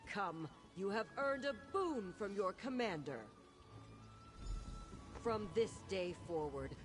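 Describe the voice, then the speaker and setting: Dignified female knight commander, music behind. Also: on the high side. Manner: formal, ceremonious. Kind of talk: video game voice acting.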